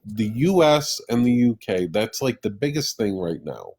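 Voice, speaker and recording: A man's voice: fairly low pitch, big pitch swings, normal speed, a little mellow, husky. Combative man hosting a heated livestream. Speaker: livestream host.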